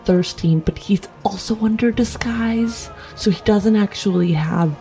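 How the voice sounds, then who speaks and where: A woman's voice: mid-range voice, a little slow, mellow. A woman playing in a tabletop roleplaying show, casually improvising in and out of character over light music.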